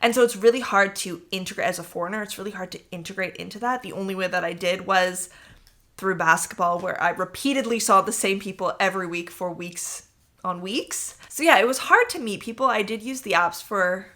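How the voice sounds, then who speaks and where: A woman's voice: medium pitch, brisk, sharp tone. A young woman hosting her own podcast, chatting casually and rambling in an upbeat way. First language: English. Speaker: podcast host. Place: warm apartment room.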